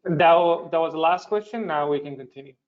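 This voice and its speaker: A man's voice: a little high, a little fast. A man moderating a webinar over a call, speaking politely as he relays information. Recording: dull webinar call audio.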